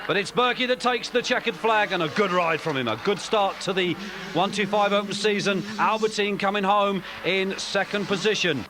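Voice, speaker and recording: A man commentating a motocross race in an energetic way that picks up as the action builds. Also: high voice, moderate pace, noisy background. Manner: energetic, rising excitement on race calls.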